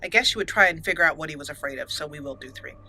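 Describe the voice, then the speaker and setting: A woman's voice: low voice, expressive, very fast, pushed and bright, a little hiss or hum. A woman hosting a let's play, chatting playfully through her gameplay, laughing often and reading game text aloud. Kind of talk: gameplay commentary.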